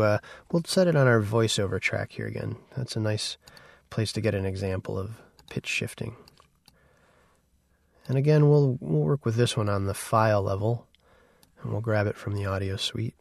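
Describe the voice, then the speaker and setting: Dry-sounding man teaching software casually. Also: fairly low pitch, creaky, dry recording. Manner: informal, conversational. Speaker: instructor.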